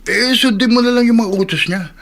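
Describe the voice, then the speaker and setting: A kindly older Filipino man with a notably high voice. He is folksy and reassuring, a soothing adviser in a radio drama. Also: fairly quick, vocal fry, fairly bright tone, heavily acted. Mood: concerned, reassuring. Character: kindly older male co-worker giving soothing advice.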